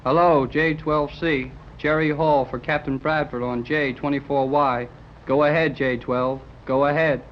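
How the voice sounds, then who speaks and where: A man's voice: on the high side, fairly even intonation, a little slow, natural voice. An actor playing an eager, hopeful boy adventurer in a 1930s radio serial, speaking with earnest, boyish exclamations. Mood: indignant. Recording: scratchy, muffled 1930s radio recording.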